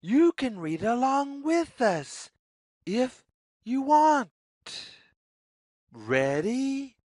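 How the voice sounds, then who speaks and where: A woman reading a story aloud for young children, phrasing deliberately and putting questions to the listener. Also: sits high, very animated, darkish tone, dry recording, clean studio sound. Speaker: children's read-aloud narrator.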